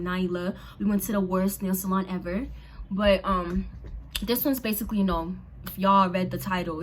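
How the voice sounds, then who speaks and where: A young woman from Haiti whose first languages were Creole and French, chatting casually to camera in an expressive, slangy way. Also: mid-range voice, a little hiss or hum, low-quality recording. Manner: chatty and expressive, slangy, lots of fillers and exclamations. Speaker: vlogger. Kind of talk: casual storytime chat to camera.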